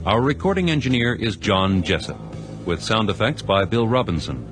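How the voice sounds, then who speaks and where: Low male announcer over music. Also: very animated, normal speed. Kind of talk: scripted radio credits announcement.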